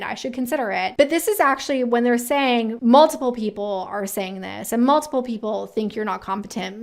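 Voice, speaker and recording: A woman giving casual, chatty commentary as a video host, opinionated and informal with slangy asides. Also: on the high side. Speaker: career advice video host.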